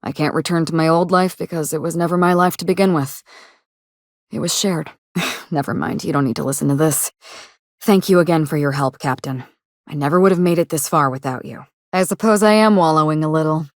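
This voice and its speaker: A low, closely recorded, dry-sounding voice for a video game: a proud actress in mourning. She speaks in measured, formal phrases, wistful yet wry, with a quietly ruthless streak. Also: dry recording. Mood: resigned, melancholy. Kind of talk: video game dialogue acting.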